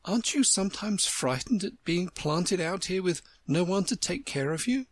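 A woman's voice: fairly low pitch, animated, normal speed, breathy edge, no room sound, pitched above the performer's own voice. A woman voicing a curious, polite young girl who asks questions in a storybook. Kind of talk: storybook character voice.

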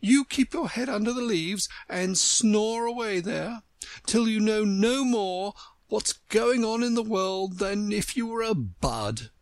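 A woman's voice: medium pitch, expressive, slow, no room sound, pitched above the performer's own voice. A woman voicing a haughty, hot-tempered talking flower who scolds in a storybook. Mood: scolding. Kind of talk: storybook character voice.

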